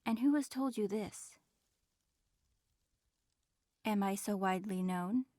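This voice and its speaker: A woman playing an ancient, regal female dragon in human form, aloof and guarded, with formal, measured speech in an audio roleplay. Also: mid-range voice, warm tone, no room sound, clean studio sound. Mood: guarded, curious. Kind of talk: audio roleplay, in-character monologue addressed to listener.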